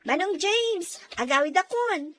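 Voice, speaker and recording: A woman's voice: extremely high pitch, lively intonation, unhurried, fairly bright tone, heavily acted. A woman playing a chatty, cheeky younger sister in a radio comedy drama. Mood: grumbling, teasing.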